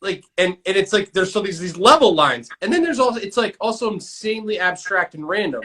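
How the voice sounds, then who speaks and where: A man's voice: high-pitched, lively intonation, a little fast, sharp tone. A man from Kansas interviewing an artist, asking curious, eager questions in a casual chat.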